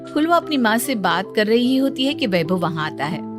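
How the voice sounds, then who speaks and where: A woman's voice: a little high, sing-song, normal speed. A woman storyteller reading scripted narration of an emotional family story. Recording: music behind the voice.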